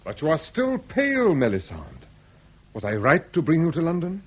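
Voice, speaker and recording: An actor playing a grandiloquent, solicitous English lord in a period comedy radio drama, with ornate theatrical phrasing. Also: male voice, fairly high pitch, huge pitch swings, moderate pace, background noise.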